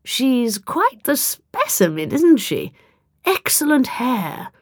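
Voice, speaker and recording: Woman putting on a jaunty, affected alien gentleman. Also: high-pitched, very animated, slow pace, husky, dry recording, clean studio sound, pitched above the performer's own voice, exaggerated voice. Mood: smug, condescending. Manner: affected old-chap gentlemanly banter, drawn out. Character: jaunty, condescending dapper male alien in pinstripes.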